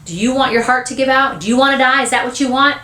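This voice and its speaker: A woman's voice: fairly high pitch, animated, moderate pace, roomy sound, pitched above the performer's own voice. A woman voicing an exasperated, bossy mother who scolds and hollers orders in a storybook reading. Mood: exasperated, scolding. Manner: sharp commands, threatening, hollering.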